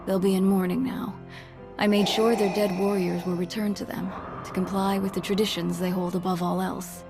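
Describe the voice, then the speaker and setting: A woman as a creaky-voiced, composed stateswoman. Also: medium pitch, little pitch movement, music in the background, lightly acted. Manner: measured, formal, diplomatic. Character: composed, stern female government official. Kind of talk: video game cutscene acting.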